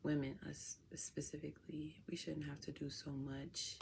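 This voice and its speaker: A woman's voice: low-pitched, level tone, moderate pace, gravelly, noisy background. A young woman from Chicago with a Chicago accent, chatting casually and laid-back to her viewers at home. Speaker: vlogger. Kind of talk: casual chat to camera.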